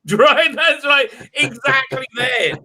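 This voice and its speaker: A man interviewing a guest in casual chat, halting with many restarts and laughing between points. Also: very high voice, bright, forceful tone.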